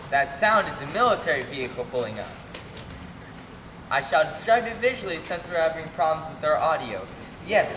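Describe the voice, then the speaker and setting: A man's voice: on the high side, recorded from a distance, lightly acted. A man playing an earnest, dramatic radio field reporter in a comic stage radio play. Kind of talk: mock old radio news bulletin on stage. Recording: very noisy, echoey stage from afar.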